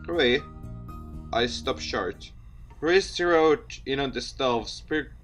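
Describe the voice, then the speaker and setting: A game streamer with a mid-pitched voice reading a story game aloud expressively, with asides and the odd stumble over words. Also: a bit bright. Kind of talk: let's play narration with reactions and asides.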